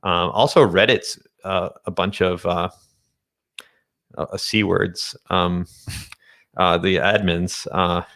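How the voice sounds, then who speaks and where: A man talking casually as an interview guest about finance and tech, halting as he thinks aloud. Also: low-pitched, steady tone, fairly bright tone. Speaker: guest, day trader.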